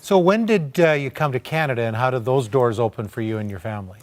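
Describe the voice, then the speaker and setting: A man's voice: medium pitch, fast talker. A man hosting an interview, prompting his guest and summing up his story. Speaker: interviewer host. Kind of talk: talk show interview.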